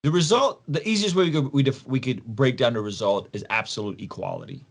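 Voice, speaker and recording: Guy from soviet russia on a podcast, mid pitch, slangy and emphatic, keeps repeating himself. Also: animated, fairly quick, creaky, husky. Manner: emphatic, slangy, repeats phrases and poses rhetorical questions. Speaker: podcast guest. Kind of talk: casual conversational explaining.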